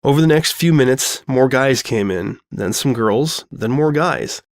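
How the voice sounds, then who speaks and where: A man's voice: medium pitch, a little slow, no room sound. Man narrating a comic story, dry studio sound. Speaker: fan fiction narrator.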